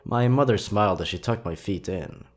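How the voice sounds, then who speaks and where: A man narrating scripted creepy stories in a dramatic, suspenseful, theatrical way with drawn-out pauses. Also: on the low side, animated, soft background music. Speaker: horror story narrator and channel host.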